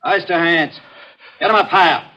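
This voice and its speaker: An actor playing a tough, taunting investigator in a 1940s radio thriller, with sneering, clipped delivery. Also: male voice, a little high, moderate pace, bright, forceful tone. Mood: taunting, accusing. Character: tough, taunting male investigator holding a killer at gunpoint. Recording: dull vintage 1940s radio recording.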